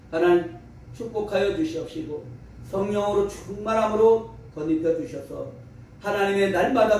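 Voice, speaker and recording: A man's voice: high-pitched, darkish tone. A man preaching and praying at a revival meeting in an emphatic, exhorting manner. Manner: emphatic, exhorting, with rhetorical questions. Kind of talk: fervent revival sermon and prayer. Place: large echoey room at a revival meeting. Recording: very echoey, distant mic, faint noise.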